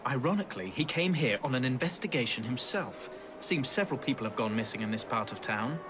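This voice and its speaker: A man's voice: medium pitch, lively intonation, a little fast, noisy background, lightly acted. An actor playing a concerned, matter-of-fact Victorian London policeman with an English accent in a video game. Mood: earnest, informative. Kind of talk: video game cutscene acting.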